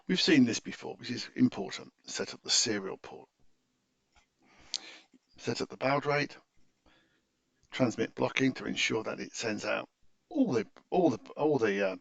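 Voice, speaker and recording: Gravelly man with lively pitch, walking through software. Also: moderate pace, long pauses, no room sound. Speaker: software tutorial presenter. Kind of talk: unscripted screen-share tutorial walkthrough.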